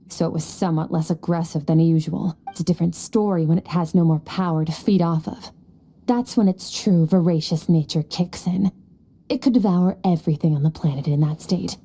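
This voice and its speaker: A woman voicing an aloof, stoic young warrior in a video game, speaking in a cool, deadpan, formal manner. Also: fairly low pitch, slightly rough. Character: aloof, stoic, powerful young swordswoman from the abyss. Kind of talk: anime-style game voice acting. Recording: music behind the voice.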